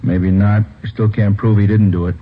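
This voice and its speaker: A man's voice: fairly low pitch, little pitch movement, moderate pace, close to an everyday voice. A man playing a blunt, businesslike police lieutenant in a radio crime drama, curt and probing. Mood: brisk, probing. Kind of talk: 1950s radio drama acting. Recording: tinny, noisy old radio recording.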